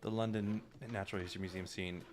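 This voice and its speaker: A man's voice: on the low side, fairly even intonation, quick pace, darkish tone, slight background noise. A man giving casual live commentary as a director and stream host, in a chatty way.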